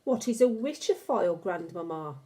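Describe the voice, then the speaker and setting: Woman as a curious young boy, very animated. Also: lowish voice, normal speed, natural voice. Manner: inquisitive. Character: curious, anxious young boy questioning his grandmother. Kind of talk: storybook character reading.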